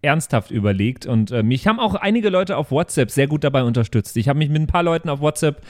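A man's voice: fairly high pitch, lively intonation, fast talker, a little mellow. A man hosting a podcast in casual, colloquial chat, talking in an emotive, exclaiming way. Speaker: podcast host and role-player.

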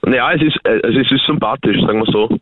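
A man co-hosting a radio show, chatting in a relaxed, hesitant way. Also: mid-range voice, quick pace, no room sound, narrow, tinny audio. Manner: relaxed, hesitant with fillers, joking. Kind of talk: casual radio chat. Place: radio studio.

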